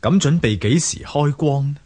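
An actor playing a polite, inquisitive gentleman in a radio drama, speaking with a Hong Kong Cantonese accent. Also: a little high, expressive, moderate pace, husky. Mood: polite, curious. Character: polite gentleman visiting a temple.

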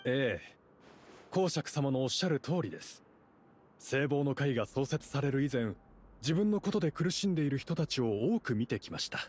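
A man's voice: medium pitch, lively intonation, moderate pace, a little mellow, a little hiss or hum, close to an everyday voice. A man playing a deferential society leader in a game, formal and eagerly polite toward his superior. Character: deferential, smooth-talking society leader, eager to please the duke. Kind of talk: game dialogue acting.

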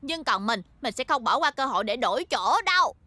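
Feisty cartoon little girl, very high, animated swings, music behind. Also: brisk, no room sound, heavily acted. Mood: grumbling, regretful. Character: hot-tempered, stubborn little schoolgirl. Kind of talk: cartoon dubbing.

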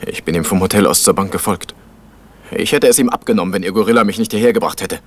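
A man's voice: low voice, fast. A man playing a tense, impulsive young schemer in a 1970s German radio play, terse, formal and defensive. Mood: uneasy. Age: young adult.

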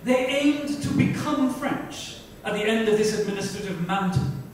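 A man's voice: high-pitched, lively intonation, moderate pace. A middle-aged man narrating a dramatic reading of a book. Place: club lounge on stage-like set, indoors. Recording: echoey room, distant mic, noisy.

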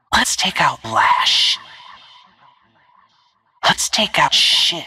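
A woman playing a stealthy, cool-headed assassin in a video game, giving terse callouts in a hushed, husky, breathy voice. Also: dry recording, exaggerated voice. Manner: terse, clipped phrases in a low-key, controlled delivery. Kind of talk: short in-game callout lines.

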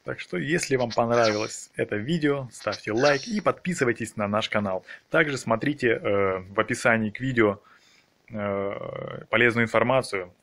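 A man's voice: fairly low pitch, fairly bright tone. A man hosting a gaming video, commenting casually on his own play in short phrases. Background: game music.

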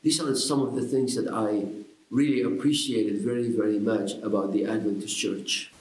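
An older man from Iraq gives a personal testimony straight to the camera, talking earnestly and haltingly with repeated words and fillers. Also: lowish voice, steady tone, a little mellow. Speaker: pastor. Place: indoors, in front of a bookshelf. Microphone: camera at close range. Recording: echoey room.

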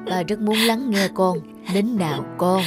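An actor voicing a kindly village father in a cartoon, gentle and coaxing. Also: high voice, measured pace. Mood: gentle concern. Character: kindly village father, devoted to his daughter. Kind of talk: cartoon dub acting. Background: music.

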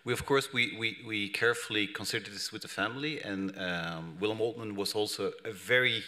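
A man in his thirties from Belgium answering questions on a conference panel, halting with many restarts, speaking into a table microphone in a large hall. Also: on the low side, pushed and bright. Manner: hesitant, many restarts and fillers. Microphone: table microphone on stand.

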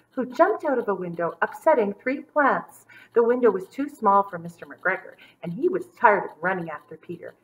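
A woman in her thirties reading a children's story aloud with dramatic emphasis and drawn-out words. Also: medium pitch, rough recording. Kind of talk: expressive storybook read-aloud for young children. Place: small indoor room set up as a classroom.

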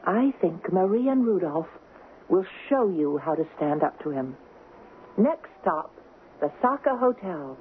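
A dreamy young fiancée in a 1970s radio drama. She is earnest and gently insistent, heard through a narrow, phone-like broadcast with clear noise. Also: lowish voice, sing-song, a little slow. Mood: hopeful, teasing. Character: dreamy, determined young engaged woman narrating.

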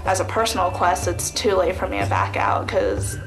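A woman's voice: lowish voice, big pitch swings, moderate pace, music in the background, rough recording. A nineteen-year-old woman from Virginia introducing herself as a contestant, joking and then determined. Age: teens. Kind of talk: casual self-introduction.

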